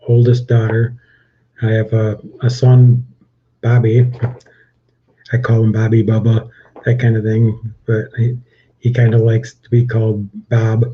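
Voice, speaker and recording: A flat-toned man in his fifties. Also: mid-range voice. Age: 50s. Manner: even, measured, restarts with ums. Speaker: co-host of a livestream. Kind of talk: casual question-game chat. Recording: dull lo-fi stream audio.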